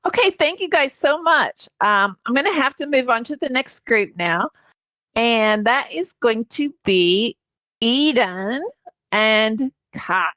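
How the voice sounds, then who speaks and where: An American woman hosting a conversational online English lesson, explaining things in an encouraging, animated way. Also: a little high, takes it slowly, dry recording. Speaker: English teacher, host.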